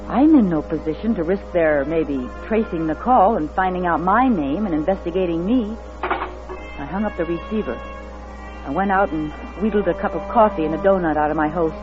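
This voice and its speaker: A woman's voice: low voice, lively intonation, moderate pace. An actress playing an anxious, wary woman motorist on the run, narrating in a dramatic 1940s radio suspense style. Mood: tense narration. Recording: dull vintage radio recording with music behind.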